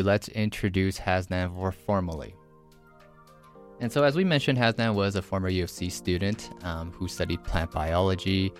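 A man hosting an event and giving an upbeat introduction of a guest. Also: deep voice. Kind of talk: scripted introduction.

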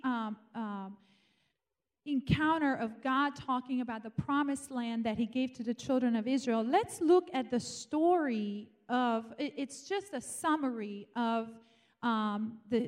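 A woman's voice: on the high side, darkish tone. A woman from Romania preaching an evening sermon, fervently calling out "Amen?" to her congregation and reading scripture aloud.

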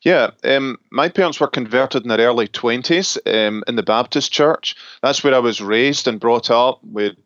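A middle-aged man with a Scottish accent speaks in an easy, reflective way, pausing and restarting now and then. The recording is close and dry, with a slightly muffled, dull top end. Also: mid-range voice, fairly bright tone, no room sound. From Scotland. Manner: thoughtful, with small laughs and restarts. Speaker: pastor and seminary professor. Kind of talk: relaxed interview conversation.

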